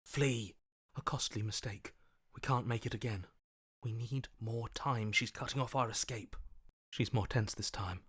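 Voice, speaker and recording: An actor playing a wary, primal survivor's inner voice in a video game, speaking in terse, watchful phrases. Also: male voice, mid-range voice, measured pace, a bit bright, husky, no room sound, studio quality. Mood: tense, urgent. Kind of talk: video game character voice acting.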